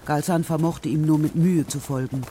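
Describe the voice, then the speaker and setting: A man's voice: on the high side, steady tone, normal speed, warm tone, a little hiss or hum. A man reading scripted narration for a children's radio play.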